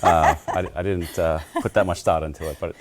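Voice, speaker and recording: A man's voice: deep voice, fairly even intonation, fairly quick, background noise. A man, the town manager, cooking as a guest and explaining each step in an easygoing way, with lots of "uh" and restarts. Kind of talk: casual cooking demonstration.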